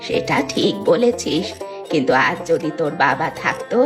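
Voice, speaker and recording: A woman acts a greedy older village mother in a cartoon tale. She gloats and bosses in a somewhat low voice, with music clearly behind her. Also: expressive, moderate pace. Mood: gleeful, gloating. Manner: gloating and bossy. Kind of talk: dramatized Bengali moral-story cartoon dub.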